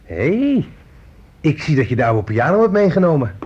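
A man's voice: on the high side, big pitch swings, moderate pace, darkish tone, husky. An actor in a Dutch radio play playing a menacing, needy fugitive who resents his mother, brooding and needling. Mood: wary, nostalgic. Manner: brooding, needling, childishly aggrieved. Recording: muffled old radio play recording.

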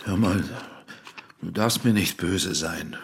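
A man's voice: sits low, takes it slowly, gravelly, slight background noise, heavily acted. An actor playing a troubled married man under suspicion, defensive, in an intimate radio drama. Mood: tipsy, pleading.

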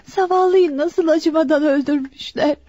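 An actress playing an anxious, tender young fiancée and daughter in a Turkish radio play. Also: female voice, high-pitched, fairly even intonation, moderate pace, mellow, a little hiss or hum, natural voice. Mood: grieving. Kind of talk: Turkish radio theatre acting. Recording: dull, band-limited old radio drama.

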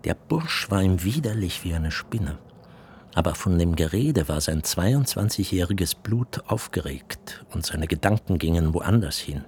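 A man's voice: low-pitched, lively intonation, unhurried, darkish tone. A man narrating a literary radio drama from a script, in the role of storyteller.

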